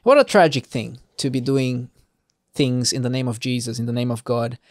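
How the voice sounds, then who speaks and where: A man's voice: medium pitch, moderate pace, no echo, studio quality. A man hosting an informal Bible reading, commenting off the cuff in a conversational, digressing way and putting rhetorical questions to the listener.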